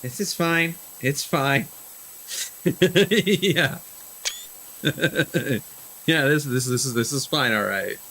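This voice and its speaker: A man's voice: mid-range voice, slow, pauses often, bright, forceful tone. A man doing casual, wry game commentary as he streams, muttering asides to himself.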